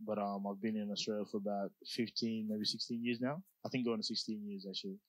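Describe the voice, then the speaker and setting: A man's voice: lowish voice, little pitch movement, fast, no room sound, rough recording. A young man from South Sudan, talking about his music in a laid-back, slangy way, full of "like" and "you know". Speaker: rapper guest. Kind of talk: casual interview.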